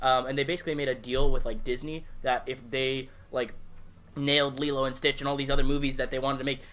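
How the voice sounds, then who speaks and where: A man hosts a casual podcast, talking very quickly in a mid-pitched voice. He keeps it informal and swears casually. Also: flat delivery, fairly bright tone. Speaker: podcast host.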